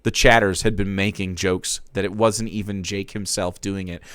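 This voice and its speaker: A man streamer giving a dramatic reading with wry, deadpan asides. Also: sits low, moderate pace, dry recording.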